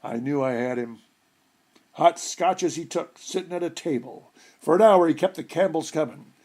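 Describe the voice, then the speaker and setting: A man reading a humorous short story aloud in an expressive storytelling style. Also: a little high, moderate pace. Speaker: story reader.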